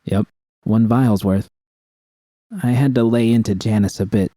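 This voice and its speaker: A man's voice: lowish voice, fairly even intonation, a little slow, dark tone, dry recording, studio quality. A man voicing a teasing, reassuring woman with a coaxing, seductive manner in an audiobook story. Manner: coaxing, purring.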